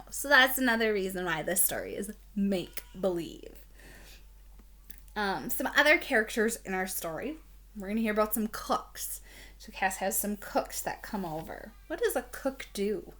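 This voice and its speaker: A woman's voice: medium pitch, bright, forceful tone. A woman teacher introducing a read-aloud for young children, explaining things simply and asking the listener questions. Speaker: elementary school teacher.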